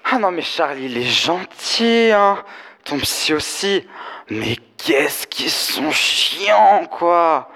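A young man playing a flamboyant, fashion-obsessed DJ friend, gossiping in trendy slang with theatrical, drawn-out exclamations in comic audio fiction. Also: fairly high pitch, big pitch swings, gravelly, bright, forceful tone, exaggerated voice. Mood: gossipy, mocking. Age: teenager or young adult. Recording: echoey hall, live stage performance.

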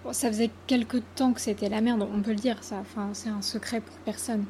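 A woman's voice: fairly high pitch, steady tone, fairly quick, warm tone, slight background noise, lightly acted. A woman playing a weary, blunt witness who hesitantly recalls a crisis in colloquial speech, for a radio fiction. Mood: weary, reflective. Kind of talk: mock-interview radio fiction.

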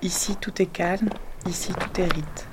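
A woman reciting a poetic text in a calm, measured way, sometimes dropping to a hush. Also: lowish voice. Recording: noisy background.

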